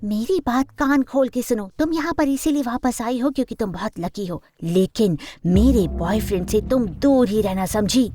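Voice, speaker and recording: A woman plays a spiteful young heiress in an audio drama. Her voice is high, and she speaks with jealous, petulant menace. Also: measured pace, few pauses. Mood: threatening.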